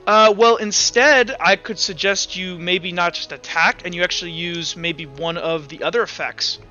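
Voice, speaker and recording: A man's voice: sits high, steady tone, moderate pace, bright, forceful tone, lo-fi sound. A man casually running a tabletop roleplaying game, rambling through rules and muttering as he counts and looks things up. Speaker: game master. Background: background music.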